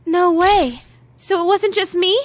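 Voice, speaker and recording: A woman voicing a perky, excitable teenage girl with an American accent in an anime-style game, girlish and expressive. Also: very high-pitched, unhurried, noisy background. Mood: alarmed.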